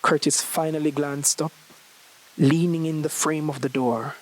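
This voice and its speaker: A man's voice: a little high, steady tone, measured pace, low-quality recording. A young man reading a scripted short story aloud as its narrator. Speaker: story reader.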